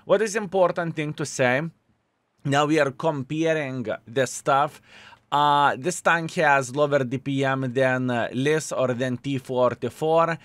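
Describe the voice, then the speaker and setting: A man's voice: medium pitch, measured pace, a bit bright, no room sound. Male host, Lithuanian accent, casual exclamatory gameplay talk, dry close-miked sound. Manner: chatty, exclamatory, addresses viewers as fellas. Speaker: gaming video host.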